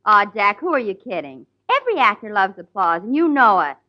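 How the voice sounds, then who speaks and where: A woman's voice: mid-range voice, big pitch swings, a little fast. Dry teasing woman on old radio. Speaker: comedienne co-star. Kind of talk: 1940s radio comedy. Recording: dull old radio broadcast recording.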